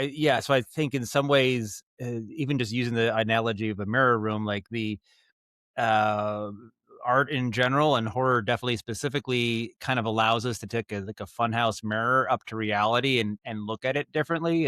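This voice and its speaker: A man's voice: medium pitch, fairly bright tone, clean tone, no room sound, clean studio sound. An American man chatting casually as an interview guest, thinking aloud in a rambling, thoughtful way and repeating words as he goes. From Maine, United States. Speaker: podcast guest, audio drama writer and director.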